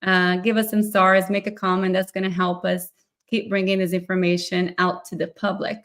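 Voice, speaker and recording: A woman's voice: fairly low pitch, flat delivery, moderate pace, fairly bright tone. Fluent woman host explaining therapy. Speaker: podcast host, myofunctional therapist. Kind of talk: live podcast interview.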